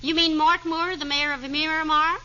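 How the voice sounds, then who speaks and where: An actress playing a patient, gently teasing wife in a 1940s radio comedy, with proper diction and a wry sweetness. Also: female voice, high-pitched, level tone, moderate pace, highs cut off. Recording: scratchy noisy 1940s radio broadcast.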